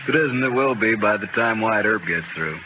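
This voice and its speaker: Man acting a low-voiced, sardonic frontier gunfighter. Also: lively intonation, narrow, tinny audio, lightly acted. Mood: wry, relaxed. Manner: dry, sardonic, unhurried politeness. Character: sickly, dangerous, coolly courteous male gunfighter. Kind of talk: 1950s Western radio drama acting. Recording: thin, hissy old-time radio recording.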